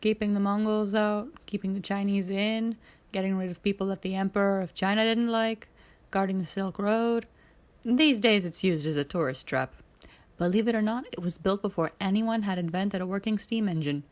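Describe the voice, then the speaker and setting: A woman's voice: mid-range voice, normal speed, lightly acted. A woman reads the part of a young male pilot in a space adventure story. He sounds laid-back and wry, explaining things in a casual, matter-of-fact way.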